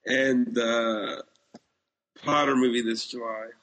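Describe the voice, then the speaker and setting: A man co-hosting a podcast, chatting informally and with firm opinions about films and TV in a gravelly, husky voice. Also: medium pitch, steady tone, slow, a bit bright, rough recording. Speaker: podcast co-host. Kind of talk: casual chat about films and TV.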